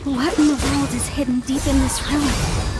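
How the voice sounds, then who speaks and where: A woman's voice: high voice, flat delivery, moderate pace, loud music behind the voice, natural voice. A woman playing an earnest, curious young female explorer in a fantasy video game.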